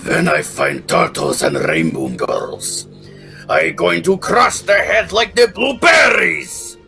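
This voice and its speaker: A man voicing brutish, menacing mutant henchmen in a cartoon fan drama, gravelly and gloating. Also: sits high, sing-song, slow, sharp tone, soft background music, exaggerated voice. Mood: boastful, menacing.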